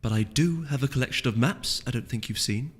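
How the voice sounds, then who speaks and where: A man's voice: mid-range voice, a little fast, breathy edge, natural voice. A man voicing a kindly elderly female pony shopkeeper with a folksy, confiding manner in a storybook reading. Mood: conspiratorial. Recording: long reverb with music underneath.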